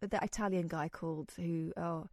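English woman radio presenter, lively casual storyteller, dry close studio sound. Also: lowish voice, moderate pace, no room sound. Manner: chatty, animated storyteller with self-deprecating humor.

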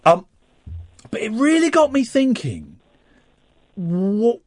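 Radio host man, rambling, keeps restarting, pitch bouncing all over, dry studio sound. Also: big pitch swings, slow, darkish tone, no echo. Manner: rambling, stammering restarts, musing questions. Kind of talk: casual radio chat.

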